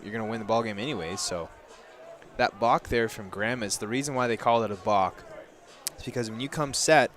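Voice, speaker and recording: A man's voice: lowish voice, brisk, fairly bright tone. A young American man giving informal, explanatory baseball analysis as a color commentator on a headset mic. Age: young adult. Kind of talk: conversational sports analysis.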